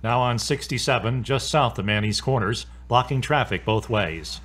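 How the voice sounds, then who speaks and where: A man's voice: mid-range voice, moderate pace, fairly bright tone, breathy edge. A man playing a local radio traffic reporter, reading a bulletin in an audio drama. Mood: matter-of-fact.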